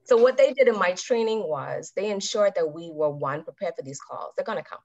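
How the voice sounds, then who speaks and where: A woman in her thirties giving a calm, measured talk to a club over a video call and putting questions to her listeners. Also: fairly low pitch. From Bahamas. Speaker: club speaker. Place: indoors with a virtual background. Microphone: webcam on a video call. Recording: dull video-call audio.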